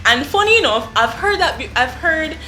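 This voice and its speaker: A woman's voice: high voice, moderate pace, reverberant, a little hiss or hum. A young woman from Jamaica with a Jamaican accent, telling a story to camera in an effusive, chatty way. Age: young adult. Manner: effusive, animated, lots of "I mean" and "like". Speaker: vlogger. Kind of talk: casual storytime.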